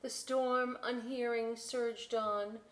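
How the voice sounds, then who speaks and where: A woman hosting a children's storytime, reading and chatting informally in an expressive, emphatic way. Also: on the high side. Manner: expressive, emphatic, unhurried delivery. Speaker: library storytime host. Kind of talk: children's read-aloud with informal asides. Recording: echoey room.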